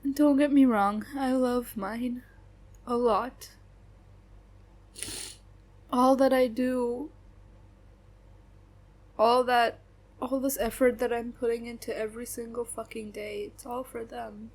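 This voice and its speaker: A woman playing a young adult best friend who speaks in a halting, subdued way with long pauses, venting about her family. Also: a little high, fairly even intonation, a little mellow. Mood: hurt. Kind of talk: audio roleplay acting.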